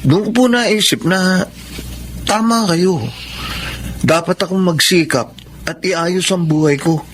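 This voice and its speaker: An actor playing an excitable, carefree neighbor in a Filipino radio drama, colloquial and animated, with a Filipino accent. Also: male voice, sits high, slow pace, a little hiss or hum. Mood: earnest.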